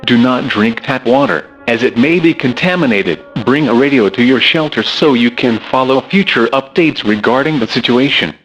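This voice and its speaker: A man reads an emergency broadcast in a formal, even voice. The audio is muffled and lo-fi. Soft music sits under him. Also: medium pitch, moderate pace, few pauses. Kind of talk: scripted alert announcement.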